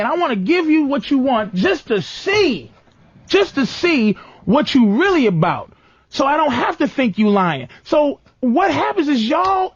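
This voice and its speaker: A high-pitched man hosts a comedic relationship debate. His delivery swings widely as he cuts in and pushes his point in street slang. The sound is dull and lo-fi. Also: no room sound. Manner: interrupting, insistent, street-slang rant. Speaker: talk show host.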